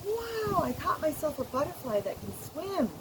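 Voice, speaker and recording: An excitable, wonder-struck little girl on a storybook fishing trip, acted out by a woman. Her questions gush out with curiosity and big swings in pitch. The recording is very noisy. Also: normal speed. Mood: delighted. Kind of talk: children's storybook character voice. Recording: noisy recording with loud background noise.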